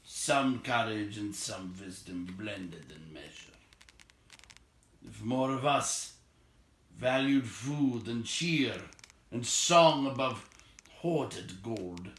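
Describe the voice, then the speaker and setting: A man's voice: fairly low pitch, expressive, very slow, long pauses, a bit bright, reverberant, heavily acted. A man voicing a dying dwarf king from a storybook, grave, proud and repentant, speaking formally and solemnly. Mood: gentle, fading.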